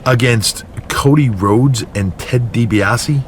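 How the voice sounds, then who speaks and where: A man's voice: mid-range voice, slow, slight background noise, natural voice. A man playing an incredulous, indignant pro wrestler in a video game cutscene, firing rhetorical questions at his partner.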